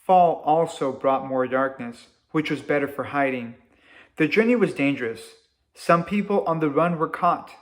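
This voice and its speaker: A man reading a story aloud to children in a deliberate, expressive way, like a teacher. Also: on the high side.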